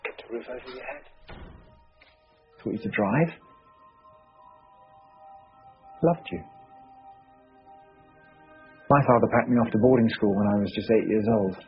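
A wry British consulting detective, blunt yet measured, with a fairly low voice, as an actor plays him. His lines come through muffled television sound with faint music underneath. He speaks in a dry, deliberate way. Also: natural voice. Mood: coaxing. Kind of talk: TV drama acting.

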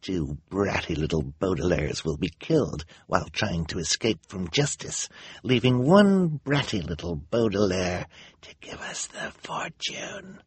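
A man voicing a vain, sinister villainess in an audiobook, with a hushed, breathy, menacing delivery. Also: on the low side, wildly swooping pitch, drawn-out words, hardly any pauses, dry recording, exaggerated voice. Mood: quiet menace. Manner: menacing, sneering.

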